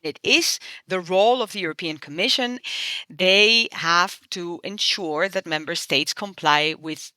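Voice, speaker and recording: A woman's voice: fairly low pitch, a little slow, bright, forceful tone, clean tone, no room sound. A middle-aged woman from Spain, a member of the European Parliament, explaining her views earnestly in an interview. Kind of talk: interview answers.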